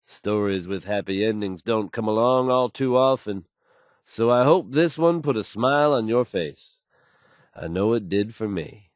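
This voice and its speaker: Confident, animated radio DJ. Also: male voice, on the low side, a little slow, no room sound, clean studio sound. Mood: warm, assured. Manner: fluent, assured announcer delivery. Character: confident, polished, smooth male radio DJ. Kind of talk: scripted radio announcing in a video game.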